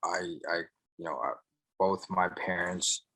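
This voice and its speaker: A man's voice: low voice, unhurried, pushed and bright, rough recording. A young man from Sri Lanka, a barber and entrepreneur, answering interview questions casually with many fillers and restarts.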